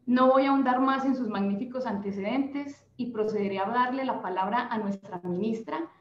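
A woman's voice: a little high, normal speed, a little hiss or hum. A young adult woman with a Colombian accent, moderating a panel and introducing a guest over a video call. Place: office room, indoors. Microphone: laptop webcam on video call. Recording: echoey room, dull lo-fi sound.

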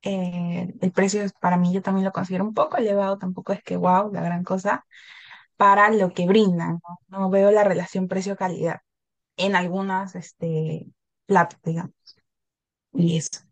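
A woman's voice: lowish voice, level tone, moderate pace, no room sound. A young woman casually giving her opinion in an online group discussion. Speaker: focus group participant. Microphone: video call.

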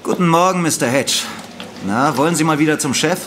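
A man's voice: fairly high pitch, fairly quick, natural voice. Actor as chatty policeman amid background noise. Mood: amused, friendly. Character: friendly, chatty male police station officer. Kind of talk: German audio drama acting.

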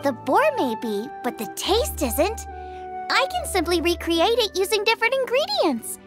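A cheerful young girl chef from a video game, with a very high, highly animated voice. She is bubbly, eager and generous, full of passion as she speaks. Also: moderate pace, over music. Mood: warm, generous. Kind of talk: video game character acting.

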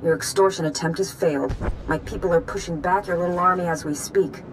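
Defiant female politician, filtered game message: low, forceful, noisy. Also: fairly even intonation, a little fast. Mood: cold, threatening. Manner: haughty, cold, forceful. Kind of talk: video game cutscene acting. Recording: filtered message with clear noise.

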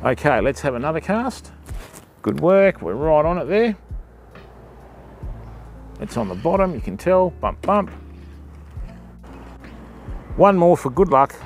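A man's voice: on the high side, sing-song, takes it slowly, pauses often, faint music behind. A middle-aged Australian man giving casual live-stream fishing commentary and tips from a kayak on a river, talking to himself and his viewers. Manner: chatty, self-talking, addresses viewers as people. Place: outdoors on a river, kayak and bank. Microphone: action camera mounted on kayak.

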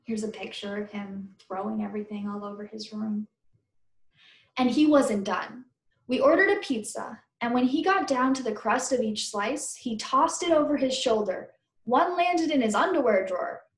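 A woman's voice: on the high side, normal speed. A woman teacher reading a children's book aloud, stopping to question and explain things to her pupils. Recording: roomy indoor echo.

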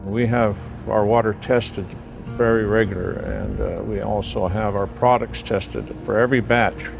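A man in his sixties speaks haltingly in an outdoor interview. His low voice is gravelly and flat in pitch. Music plays clearly beneath him. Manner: hesitant, halting with fillers. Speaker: sea farm owner. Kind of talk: documentary interview. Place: outdoors on a deck by the sea. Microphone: lapel.

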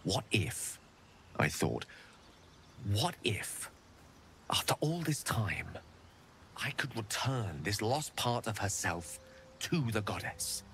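An eloquent, wry wizard. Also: male voice, on the low side, big pitch swings, takes it slowly, long pauses, a little hiss or hum, heavily acted. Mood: wistful. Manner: theatrical, articulate, self-deprecating. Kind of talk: video game dialogue. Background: soft game music.

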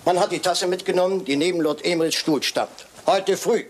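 An actor playing a courtly, shrewd private detective in a German radio crime drama, theatrical and probing. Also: male voice, high voice, a little fast.

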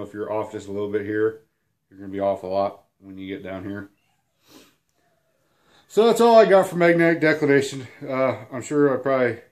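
A man in his thirties, hoarse and sniffling from a cold, giving a rambling, self-deprecating whiteboard lesson at home. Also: lowish voice, a little mellow. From Illinois. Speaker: outdoors channel host. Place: small room at home, indoors.

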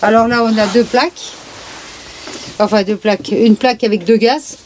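A woman's voice: fairly high pitch, steady tone, moderate pace, slight background noise. A woman chatting cheerfully as she points out the features of a camper van, recorded inside the van. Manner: cheerful, chatty, listing features with small words. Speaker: vlogger touring a camper van. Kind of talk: casual walkthrough commentary.

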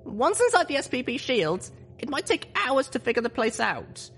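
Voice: sits high, big pitch swings, normal speed, fairly bright tone, slight background noise, voice pushed up, exaggerated voice. A narrator voicing an earnest, determined young female pony leader, speaking solemnly and urgently in a story reading. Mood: earnest, pleading. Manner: solemn, urgent speech-making.

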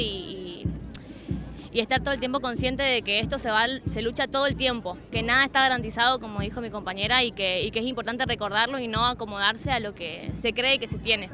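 A young woman student speaks thoughtfully, with some hesitation, at an outdoor protest. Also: fairly high pitch. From Argentina. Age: young adult. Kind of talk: street interview.

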